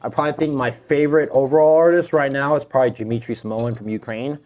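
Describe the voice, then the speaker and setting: A man's voice: mid-range voice, fairly even intonation, fast talker, a little mellow. A man in his thirties talking casually as a podcast guest, in an informal, slangy way with some swearing. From United States. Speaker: tattoo artist, podcast guest. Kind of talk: casual conversational storytelling.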